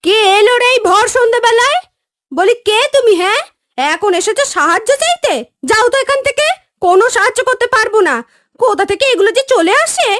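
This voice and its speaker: A woman playing a cruel stepmother in a cartoon story, scolding and threatening in a shrill manner. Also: lively intonation, fairly quick, fairly bright tone, no echo, studio quality. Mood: irritated, threatening.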